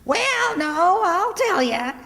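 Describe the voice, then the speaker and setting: Shrill, sly debtor's wife. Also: female voice, big pitch swings, slow pace, a bit bright, exaggerated voice. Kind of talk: old-time radio comedy acting on stage. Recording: noisy echoey hall, long reverb.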